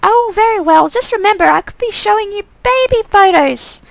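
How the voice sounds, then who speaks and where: A woman's voice: very high-pitched, expressive, normal speed, creaky, dry recording, pitched above the performer's own voice, exaggerated voice. A woman voicing a doting, unsettlingly cheerful middle-aged mother in a game, fussing sweetly and gushing fondly. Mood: excited, teasing.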